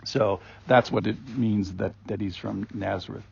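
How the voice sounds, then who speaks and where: A man giving an informal daily Bible reflection as a podcast host, rambling and conversational with many ums and restarts, in a gravelly, husky voice. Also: fairly low pitch, steady tone, moderate pace. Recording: dull lo-fi recording.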